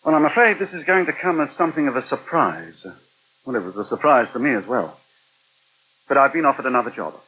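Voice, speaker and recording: Husky, bright-toned British man, hesitant. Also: mid-range voice, very animated, quick pace, heavily acted, poor audio. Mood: hesitant, apologetic. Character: polite, hesitant but determined male magazine journalist. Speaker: actor in a radio soap. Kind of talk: 1980 British radio soap acting.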